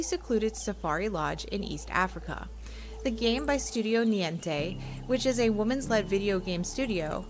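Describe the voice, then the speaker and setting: A woman co-hosting a games show, moving between casual chat and scripted review narration. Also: medium pitch. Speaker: show co-host and game reviewer.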